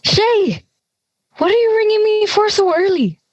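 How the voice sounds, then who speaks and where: A woman's voice: very high-pitched, expressive, a little slow, darkish tone, no echo, highs cut off. A young woman playing an anxious, exasperated teenage schoolgirl in conversational audio drama dialogue. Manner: exasperated, worried. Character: anxious schoolgirl dreading a class presentation.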